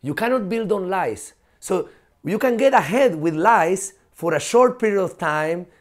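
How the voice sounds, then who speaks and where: Animated man with a high voice. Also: unhurried. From Chile. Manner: animated, laughing, frequent fillers and swearing. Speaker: guest, professor. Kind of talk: casual podcast conversation.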